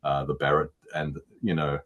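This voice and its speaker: A middle-aged man with a baritone voice, a musician answering interview questions in a casual, halting way, often repeating words as he thinks. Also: completely flat delivery, highs cut off.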